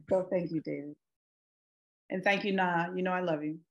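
A woman's voice: fairly low pitch, dull audio, a little hiss or hum. A middle-aged woman offering casual, sincere remarks as an actor on a video call. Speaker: actor on a panel.

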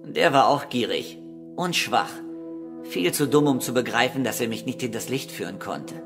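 An actor playing a cynical killer under questioning in a radio crime drama, smug, condescending and calculating. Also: male voice, mid-range voice, animated, moderate pace, over music, lightly acted. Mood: calculating, contemptuous.

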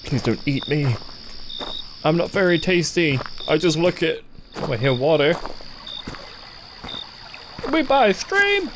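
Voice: medium pitch, sing-song, takes it slowly, long pauses, noisy background. A jumpy, sarcastic gaming YouTuber commentating on a horror game playthrough and reading on-screen text aloud. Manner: jumpy, sarcastic, gasps and cries out when startled.

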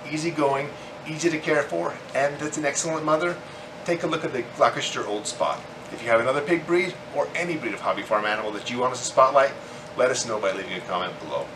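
A man in his forties hosting a farming video, reading scripted informational narration in measured phrases. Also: mid-range voice, roomy sound, background noise. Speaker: host of a hobby farming channel.

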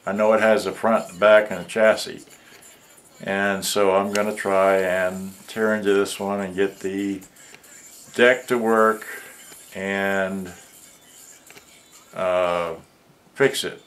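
A man talks slowly and casually, rambling and half muttering to himself as he demonstrates something. The recording is a roomy home camera take with some noise. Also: deep voice, fairly even intonation, fairly bright tone. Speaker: hobbyist repairer showing a vintage boombox. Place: cluttered indoor workbench.

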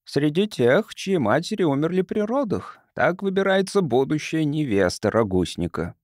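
A man's voice: fairly high pitch, sing-song, moderate pace, dry recording, clean studio sound, voice pushed up. A man voicing a stern, indignant male chief councillor of a space colony in an audiobook. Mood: explaining. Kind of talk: audiobook character dialogue.

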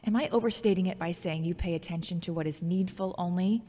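Woman as ambitious radio journalist, teasing, warm, audio drama, hall reverb. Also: on the low side, fast talker, close to an everyday voice. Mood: proud, probing.

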